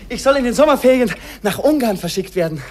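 An actor playing a naive young student son, boyish and hesitant, in an old radio play. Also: male voice, very high voice, lively intonation, quick pace. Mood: eager, unsure. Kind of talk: 1950s radio acting. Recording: old radio recording with faint noise.

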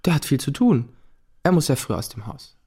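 Teenage boy, mid-pitched male voice, plain, direct, curious, radio drama. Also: sing-song, brisk, warm tone, slightly rough. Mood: evasive. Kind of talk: radio play acting.

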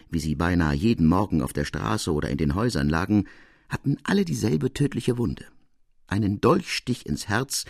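A man reading a classic literary tale as narrator, in measured, formal storytelling prose. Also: deep voice, gravelly, a little mellow, husky, dry recording, studio quality.

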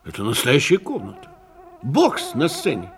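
An actor in a radio play voicing a genial, shrewd police inspector, chatty and avuncular, with musing hums and repeated words. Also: male voice, mid-range voice, huge pitch swings, moderate pace. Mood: musing, inquisitive.

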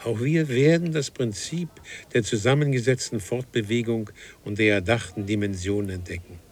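A man's voice: lowish voice, normal speed, lightly acted. An actor playing a precise, ceremonious traveller from the far future in a radio play, solemn and formal as he explains things. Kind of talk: German radio play acting.

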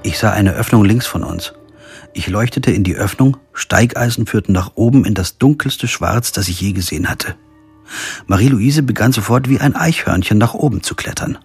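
Radio crime drama: measured lawyer-narrator, low rough-edged voice, soft music underneath. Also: male voice. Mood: sober, tense. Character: sober male lawyer telling his own crime story.

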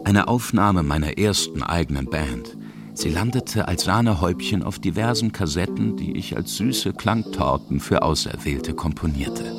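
Low-voiced man narrating over music. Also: lively intonation, normal speed, creaky. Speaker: reporter and author-narrator. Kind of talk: scripted radio feature narration.

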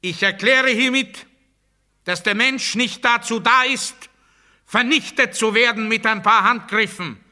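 An actor playing a coldly pedantic, grandiose prisoner in a literary radio play monologue, speaking with precise, officious declamation. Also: male voice, very high voice, unhurried, bright, forceful tone, full character voice. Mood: loud proclamation.

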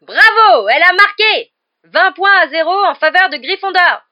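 A woman voicing an excited, partisan schoolboy shouting cheeky live sports commentary in an audiobook. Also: high voice, moderate pace, dull audio, pitched above the performer's own voice. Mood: thrilled, urging. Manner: exclamatory, shouting, openly biased.

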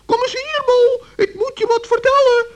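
Very high squeaky little gnome. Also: male voice, fairly even intonation, moderate pace, muffled recording. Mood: eager. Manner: chattering, stammering when flustered. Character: little male forest gnome, boastful, naive and eager.

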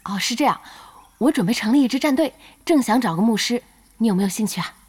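An actress playing a young female team boss in an audio drama, curious, playful and easily flustered, with expressive hesitations. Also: fairly high pitch, fast, husky. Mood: awkward, flustered. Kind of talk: Chinese audio drama acting.

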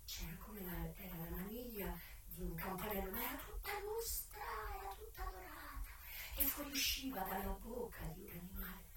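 A woman acting out a first-person memoir as a dramatic stage monologue, halting with pauses and repetitions. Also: medium pitch, very animated. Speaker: actress performing a solo stage monologue. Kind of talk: theatrical first-person storytelling of an adapted memoir. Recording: very echoey, distant mic, faint noise.

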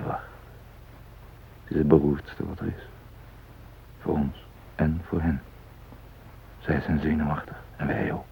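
An actor playing a self-possessed man posing as a doctor among hostages, musing in measured, clipped phrases in a radio drama. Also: rumbling low, creaky. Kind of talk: radio drama interior monologue. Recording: muffled phone-like old radio recording, hiss.

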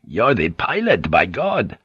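A man voicing a boisterous, swaggering sea pilot who talks in a loud, jovial, profane way, on a dull cassette audiobook tape. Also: on the low side, wildly swooping pitch, a little slow, breathy edge, no echo, full character voice.

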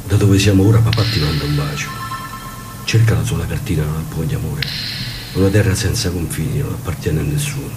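Weary old man, acted by a man, very low voice, Roman Italian accent, radio drama, loud music behind. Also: lightly acted, poor audio. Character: weary grumbling old man on a last journey. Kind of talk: radio theatre acting.

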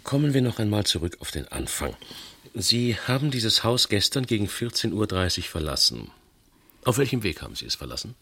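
An actor playing a matter-of-fact police detective in a German radio crime drama, questioning in a clipped, methodical way. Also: male voice, on the low side, sing-song, fairly quick, a bit bright, breathy edge, heavily acted. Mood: probing. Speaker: police investigator.

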